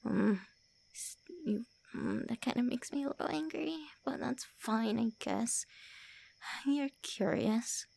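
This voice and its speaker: A bashful tsundere vampire lady, voiced by a woman in an ASMR roleplay, stumbling over her words and teasing the listener. She speaks in a higher, gravelly whisper and takes her time. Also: slow, darkish tone, full character voice. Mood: flustered teasing. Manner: whispery, stammering, brusque teasing. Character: tsundere female vampire lord, centuries-old, looks early twenties, flustered, insulting.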